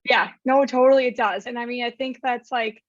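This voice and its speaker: Raspy young woman, chatty podcast guest. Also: a little high, steady tone, fairly quick, no echo, dull audio. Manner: chatty, heavy use of "like". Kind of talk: casual chat.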